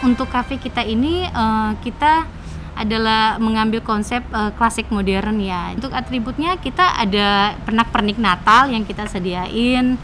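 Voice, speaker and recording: A woman's voice: fairly high pitch, unhurried, over music. A young woman explaining her cafe in an interview, hesitant with fillers, into a handheld mic. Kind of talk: interview answer. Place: indoor cafe. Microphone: handheld news mic.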